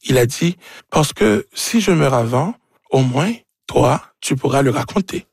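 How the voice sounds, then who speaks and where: A man from Haiti with a Haitian accent and Creole as his first language, a novelist speaking haltingly as he searches for words. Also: mid-range voice, lively intonation, vocal fry, husky, dry recording, studio quality. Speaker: novelist being interviewed.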